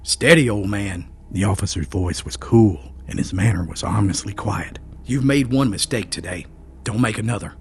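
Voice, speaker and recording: A man voicing a commanding male federal officer who speaks in a clipped, quietly threatening way. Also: fairly low pitch, moderate pace, creaky, slight background noise. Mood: cool. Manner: clipped, authoritative, coolly threatening.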